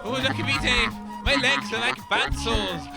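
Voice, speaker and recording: Man playing very high-pitched flustered sidekick. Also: normal speed, vocal fry, loud music behind the voice, heavily acted. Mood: giddy, tripping. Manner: flustered, exclamatory, repeating himself. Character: excitable, squeamish, grumbling male sidekick adventurer. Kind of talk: comedy audio drama.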